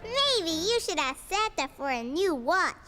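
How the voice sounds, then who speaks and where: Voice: extremely high pitch, sing-song, measured pace, full character voice. A performer voicing an eager but shy preschool boy in a children's cartoon. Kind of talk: scripted children's cartoon acting. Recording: faint music behind.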